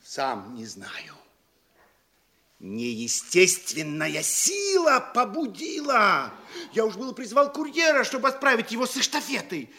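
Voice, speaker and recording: An actor playing a nosy, excitable provincial postmaster in a classic comedy audio play, savoring each phrase theatrically. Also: male voice, sits high, unhurried, heavily acted. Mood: confessional, thrilled dread.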